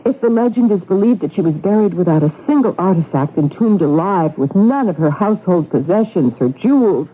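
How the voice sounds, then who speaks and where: Tinny old radio drama, scheming young wife, husky n dramatic, very lively pitch. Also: female voice, fairly quick, hardly any pauses. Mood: challenging. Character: young scheming wife, outwardly tender, secretly cold. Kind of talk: 1970s radio drama acting. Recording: tinny, band-limited old radio recording.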